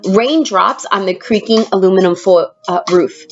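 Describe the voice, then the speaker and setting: A woman teacher reading a picture book aloud expressively, pausing to prompt young listeners with questions. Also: mid-range voice, muffled recording, faint music behind. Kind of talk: storybook read-aloud with questions to children.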